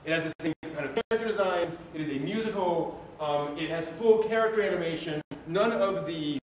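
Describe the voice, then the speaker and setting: A man's voice: a little high, a little fast, slight background noise, poor audio. A man hosting a live stream, giving opinionated commentary on anime in a rambling, thinking-aloud way.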